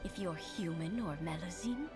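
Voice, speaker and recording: A woman voicing a kindly old lady in a video game, tender, grateful and deliberate. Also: lowish voice, music in the background, close to an everyday voice. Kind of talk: scripted game dialogue.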